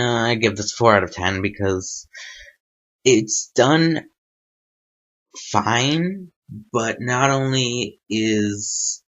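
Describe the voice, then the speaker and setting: A man's voice: on the low side, a bit bright. Hesitant man, dull lo-fi recording. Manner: hesitant, rambling, thinking aloud. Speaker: host of a fanfiction reading series. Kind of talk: informal spoken review.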